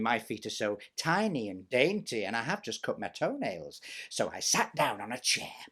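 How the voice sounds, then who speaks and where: A man's voice: mid-range voice, sharp tone, breathy edge, heavily acted. A man voicing a vain, jealous pantomime step-sister with a British accent, telling a story theatrically with snide, self-important, chatty asides. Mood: boastful, then indignant.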